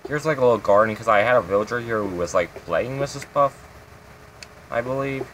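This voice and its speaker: A man's voice: medium pitch, fairly quick, background noise. A man hosting his own game channel, giving a casual, rambling tour of his builds with lots of fillers.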